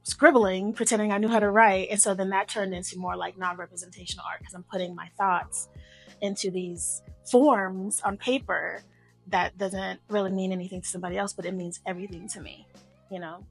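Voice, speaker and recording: Gravelly-voiced woman artist guest. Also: medium pitch, brisk, faint music behind. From Memphis, Tennessee, US. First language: Arabic. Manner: relaxed, with fillers and restarts. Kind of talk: casual conversational interview.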